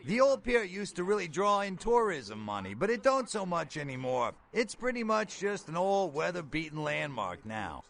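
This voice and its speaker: A man playing a brash, folksy middle-aged bail bondsman in a video game, with a gravelly voice and blunt, slangy talk. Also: sits high, big pitch swings, normal speed, hardly any pauses, fairly bright tone, a little hiss or hum, heavily acted. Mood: chatty. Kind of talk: video game character dialogue.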